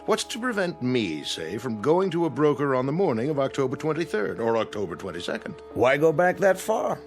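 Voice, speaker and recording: Persuasive, animated actor as a club gentleman. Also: mid-range voice, fairly quick, faint music behind, close to an everyday voice. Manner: persuasive, assured. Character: confident, argumentative gentleman club member, fond of speculation. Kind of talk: radio drama acting.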